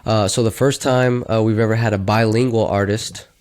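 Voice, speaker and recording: A man hosting a podcast, opening the episode with relaxed, upbeat introductions and filler words. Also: lowish voice, moderate pace. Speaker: podcast host. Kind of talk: casual podcast chat.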